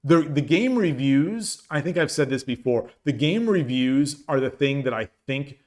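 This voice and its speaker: A man chatting casually on a shogi live stream, reading viewers' messages aloud, his voice getting dry and hoarse from long talking. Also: mid-range voice, lively intonation, measured pace, a little mellow. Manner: rambling, many restarts, reads chat aloud.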